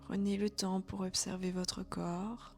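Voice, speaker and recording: A woman leading a guided relaxation as a yoga instructor, speaking softly and calmly with breathy, near-whispered phrases. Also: mid-range voice, unhurried. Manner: soft, calm, soothing delivery with breathy, near-whispered phrases. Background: background music.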